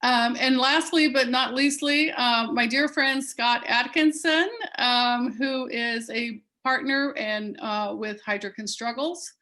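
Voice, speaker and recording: A woman's voice: on the high side, fairly even intonation, slow, hardly any pauses, sharp tone. A woman who grew up in Peru, hosting and introducing a live-streamed panel over a video call, chatty and upbeat with frequent ums. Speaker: festival host.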